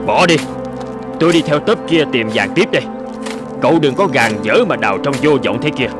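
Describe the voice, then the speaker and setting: A man's voice: on the high side, animated, fairly quick, lightly acted. A man playing an anxious, stubborn gold prospector in a cartoon, with a fretful, complaining manner. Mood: exasperated. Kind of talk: cartoon dub acting. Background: music.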